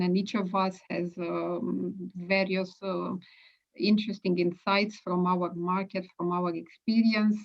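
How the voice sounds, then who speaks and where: A woman from Romania with a Romanian accent, introducing herself on a video call, halting with many fillers. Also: lowish voice. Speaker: brokerage and marketing staff. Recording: dull lo-fi call audio.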